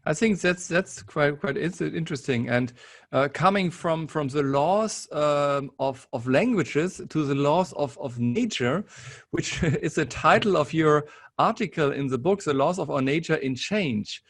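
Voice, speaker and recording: Gravelly male interviewer, hesitant. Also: a little high, lively intonation, measured pace. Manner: hesitant, repeating words while forming questions. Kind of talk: interview questions.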